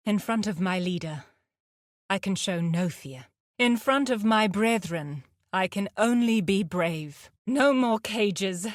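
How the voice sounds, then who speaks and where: Fierce nature-loving huntress for a game: low female voice, deliberate and terse, dry close studio sound. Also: no echo. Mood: stern, resolute. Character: fierce, guarded huntress outlaw, wry nature lover. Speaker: video game character voice actor. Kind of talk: scripted game character lines.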